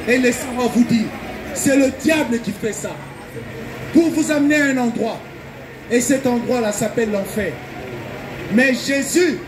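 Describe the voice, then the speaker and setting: A male evangelical preacher from Belgium delivers a fervent open-air sermon. His voice sits extremely high, and he exhorts urgently with emphatic repetition. The recording is noisy, with traffic clearly heard behind him.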